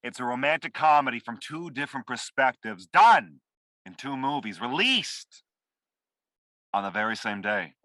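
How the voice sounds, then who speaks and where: A man plays a washed-up Hollywood director full of needy bluster. His forceful, bright voice swings widely in pitch. He is theatrical and foul-mouthed, as acted in a screenplay table read. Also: animated, moderate pace, no echo. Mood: bluffing showman.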